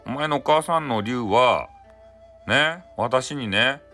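A man voicing a caring, solemn old grandmother who speaks deliberately and emphatically in folksy Hakata dialect. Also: mid-range voice, lively intonation, sharp tone, soft background music. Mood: encouraging.

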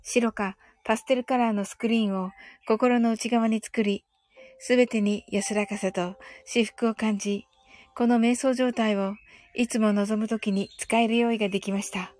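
A woman hosting a guided meditation, speaking in a calm, soothing and deliberate manner. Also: a little high. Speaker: host of a mindfulness and English audio show. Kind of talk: guided meditation in two languages, slow and measured. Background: background music.

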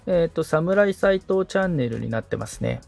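Gravelly-voiced, agreeable man following along. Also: a little high, animated, moderate pace, a little mellow, faint music behind. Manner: agreeable, reads out each step. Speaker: assistant co-host following instructions. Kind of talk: casual conversational walkthrough.

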